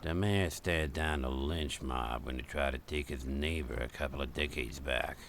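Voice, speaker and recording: A gruff, irritable old father who grumbles out short replies in a radio play. His voice is extremely deep and gravelly, with faint noise behind it. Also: normal speed, a bit bright. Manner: curt and grumbling. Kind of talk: radio drama acting.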